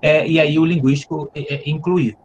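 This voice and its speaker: A man's voice: a little high, steady tone, a little fast, a little hiss or hum. A man, a university professor, giving an academic conference talk, explaining step by step while thinking aloud with frequent hesitant restarts, recorded on a computer mic during a video call.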